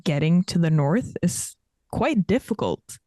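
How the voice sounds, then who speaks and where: A woman co-hosting a podcast, dropping teasing quick asides in casual chat. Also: lowish voice, lively intonation, dark tone, no room sound, clean studio sound. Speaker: podcast co-host.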